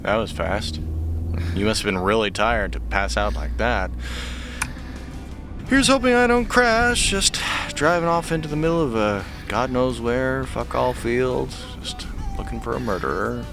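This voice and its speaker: An affable, nervous male sidekick in an audio drama. His pitch is very mobile and animated. He talks in hesitant, rambling runs with a wry, self-deprecating edge. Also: measured pace, music in the background. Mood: rambling, uneasy.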